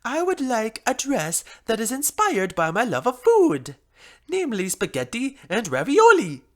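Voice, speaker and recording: A woman voicing an exuberant female pony customer with an Italian accent and a loud, emphatic delivery in a story. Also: fairly high pitch, huge pitch swings, a little slow. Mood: eager. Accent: Italian, put on. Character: exuberant Italian mare customer, food lover.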